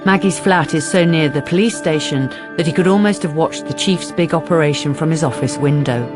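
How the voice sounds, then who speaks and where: A woman giving measured, scripted narration for a crime radio drama. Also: sits low, music in the background, natural voice.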